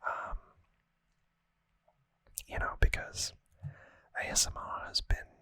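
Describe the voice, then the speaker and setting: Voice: slow, no echo. Close, dry whispered ASMR voice. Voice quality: breathy unvoiced whisper. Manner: gentle, earnest, slightly rambling. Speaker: ASMR channel host. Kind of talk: whispered ASMR, relaxed sign-off to viewers. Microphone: close microphone in a dry space.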